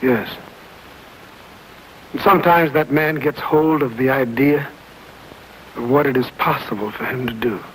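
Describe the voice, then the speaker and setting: A man in his thirties recites a solemn monologue in a dark television studio. He speaks slowly and gravely at a middle pitch, with a faint hiss under the voice, and lets key phrases fall to a whisper. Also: poor audio. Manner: grave, slow, dropping to hushed whispers. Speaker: actor delivering a monologue. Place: dark studio set, indoors.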